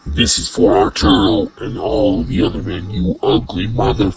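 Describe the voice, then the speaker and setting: A man voicing a proud, vengeful hulking fighter in a fan fiction story, with a gravelly, breathy growl and deliberate, threatening delivery. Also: deep voice, huge pitch swings, exaggerated voice, rough recording. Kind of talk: audio fan fiction dialogue.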